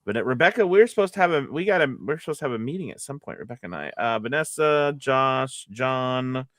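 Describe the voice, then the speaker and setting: A man's voice: mid-range voice, animated, dry recording. Rambling man chatting on a dry mic. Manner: rambling, halting, self-correcting. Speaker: voice actor co-hosting a livestream. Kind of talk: casual livestream chat.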